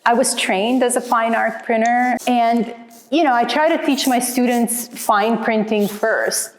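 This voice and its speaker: A woman's voice: medium pitch, noisy background. A woman from Croatia, an artist speaking thoughtfully and earnestly about her printmaking in a documentary interview. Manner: thoughtful, earnest, explains with care.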